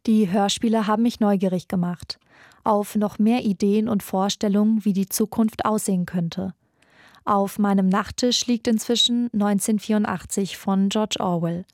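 A woman's voice: mid-range voice, fairly even intonation, moderate pace, darkish tone, dry recording, clean studio sound. A young woman reading scripted documentary narration for a podcast. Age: young adult. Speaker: podcast narrator, radio intern.

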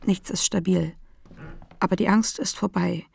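A woman playing a fragile but determined feminist activist in a radio-play monologue, measured and dryly sardonic, with a gravelly, husky voice. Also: on the low side, fairly even intonation, darkish tone, heavily acted. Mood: fragile, relieved.

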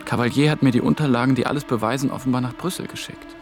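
A man's voice: medium pitch, steady tone, very fast, over music, natural voice. An actor playing a young intern on the run, telling his story in clipped, brooding phrases for a radio drama. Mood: urgent, resolved. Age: young adult. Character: ambitious young German intern, hunted and haunted. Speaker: lead actor and narrating protagonist.

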